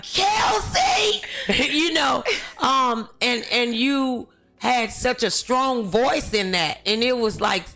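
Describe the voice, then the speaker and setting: Slow, gravelly, bright-voiced woman. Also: on the high side. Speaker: talk show host, relative of the guest. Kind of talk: casual interview chat, storytelling.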